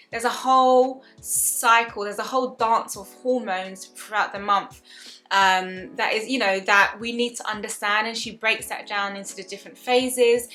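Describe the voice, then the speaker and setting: Bright-voiced woman around thirty chatting earnestly to camera, lots of ums and restarts, soft music in the back. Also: a little high. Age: about 30. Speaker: wellness vlogger. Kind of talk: casual talk to camera.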